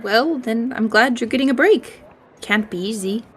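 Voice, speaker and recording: A woman's voice: a little high, normal speed, voice pushed up, lightly acted. A woman playing a kindly, bookish male scholar in a tabletop game, speaking thoughtfully and a little hesitantly. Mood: sympathetic. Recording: voice over prominent background music.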